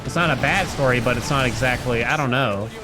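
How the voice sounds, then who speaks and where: A man's voice: mid-range voice, fairly quick. A middle-aged man chatting casually as he commentates a live game stream, thinking aloud in a rambling way. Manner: rambling, thinking aloud, humming little nonsense tunes. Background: loud game music.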